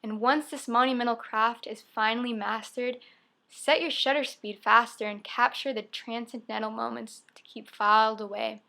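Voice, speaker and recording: A woman's voice: a little high, fairly even intonation, normal speed, creaky, bright, forceful tone. A young woman performing a spoken-word poem, reciting expressively in short, emphatic phrases. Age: young adult. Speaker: poet. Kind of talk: spoken-word poetry reading.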